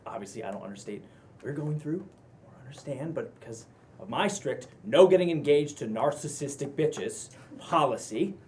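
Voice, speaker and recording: A man's voice: medium pitch, animated, normal speed, a little mellow, a little hiss or hum, lightly acted. A man playing a teasing, supportive roommate in a casual comedy-drama script read, sounding conversational and playfully sassy. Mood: encouraging. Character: Max, exasperated, teasing, supportive male roommate and friend. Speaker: actor at a table read.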